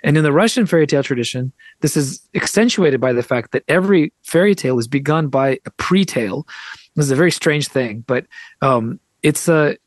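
A man's voice: fairly high pitch, brisk, no room sound. An American man explaining things in a conversational interview as a guest, articulate and discursive, with frequent asides. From United States. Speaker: podcast guest, author and translator.

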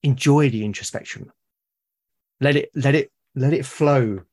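A man's voice: mid-range voice, measured pace, darkish tone, husky. A British man, a podcast guest, talking in a reflective, casual way and often restarting and repeating words mid-sentence. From Britain.

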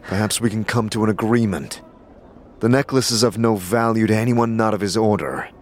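A man's voice: lowish voice, brisk, slight background noise, lightly acted. A man voicing a blunt, commanding wandering adventurer in a fantasy audio drama. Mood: businesslike. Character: male wandering adventurer, blunt, threatening, wry.

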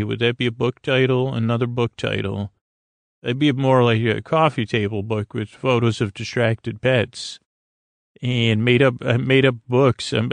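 A man with a very creaky, gravelly voice, recorded close and dry. He speaks as a podcast host lulling listeners to sleep, hesitating, stammering and drifting off into soothing, aimless tangents. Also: medium pitch, no room sound. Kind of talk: rambling, meandering bedtime monologue to help listeners sleep.

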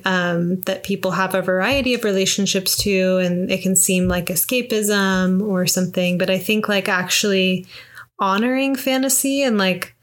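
Soft-spoken reflective young woman. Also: medium pitch. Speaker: podcast co-host. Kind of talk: casual conversation about astrology.

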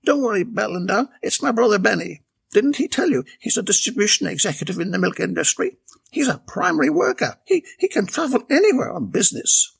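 A wheezing, tipsy woman squeaks along, breathless and whiny, as a man puts her on. The voice sits high and swoops a lot, with a raspy, gravelly edge. It reads as a comic storybook part. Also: big pitch swings, brisk, a bit bright, exaggerated voice.

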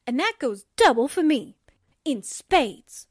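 A woman's voice: sits high, big pitch swings, slow, no echo, clean studio sound, exaggerated voice. A woman playing a plucky but jittery newspaperwoman sidekick, slangy and wisecracking, in 1940s radio drama style. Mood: indignant alarm. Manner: wisecracking, blustering, folksy.

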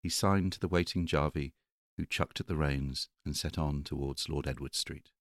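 A man's voice: very deep voice, fairly even intonation, normal speed, no room sound, studio quality. A man reading a novel aloud as an audiobook narrator. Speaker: audiobook reader. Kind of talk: literary narration.

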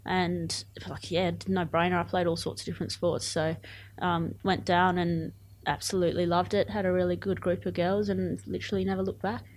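A woman's voice: on the low side, flat delivery, normal speed, a little hiss or hum. A woman in her twenties with an Australian accent, chatting casually and enthusiastically as an athlete being interviewed. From born in Zimbabwe, moved to Australia aged eight. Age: 20s. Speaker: cricket player.

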